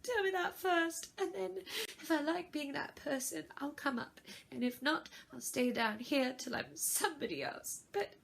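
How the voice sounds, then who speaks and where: A woman's voice: high-pitched, measured pace, creaky, voice pushed up. A woman voicing a fretful, puzzled young girl in a storybook, with a plaintive, dramatic manner. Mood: tearful, despairing. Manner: plaintive, dramatic, self-questioning.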